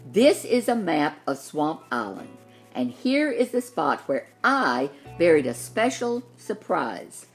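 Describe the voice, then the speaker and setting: A woman's voice: deep voice, big pitch swings, takes it slowly, husky, soft background music, exaggerated voice. A woman voicing an indignant young male dinosaur with an emphatic manner in a storybook. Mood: scheming.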